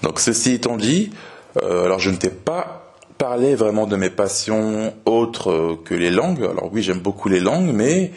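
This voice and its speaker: Podcast host, man in his twenties from France, very deep voice, calm and hesitant, speaks slowly for learners. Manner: relaxed, steady, with frequent hesitations. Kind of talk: slow clear podcast talk for learners.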